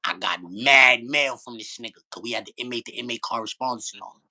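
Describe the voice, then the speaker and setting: Bright-voiced New man telling stories. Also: medium pitch, brisk. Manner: animated, emphatic, repetitive, profane. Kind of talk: casual street-slang chat and storytelling.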